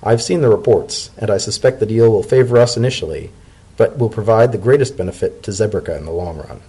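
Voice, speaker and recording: A man's voice: fairly low pitch, fast, dark tone, slightly rough, rough recording. A man reading the part of a male pony government secretary in a storybook tale, polite, earnest, formal and deferential. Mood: earnest, candid.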